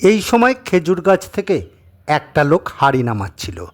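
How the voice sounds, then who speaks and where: A man narrating a scripted dramatic story in an expressive manner. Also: on the high side, moderate pace.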